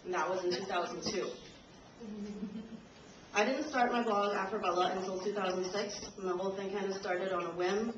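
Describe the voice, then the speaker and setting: A woman's voice: medium pitch, fairly even intonation, normal speed. A young woman reading a prepared speech to an audience, a little nervous and laughing now and then. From Trinidad and Tobago. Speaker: invited speaker. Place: university lecture room. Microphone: camcorder from the audience. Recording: roomy, distant, dull camcorder audio with noise.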